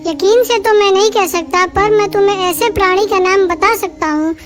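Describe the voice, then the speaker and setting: A very high, childlike cartoon voice put on by an actor for a small male rabbit. He is eager, earnest and helpful, with clear background music under the lines. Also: normal speed. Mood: determined.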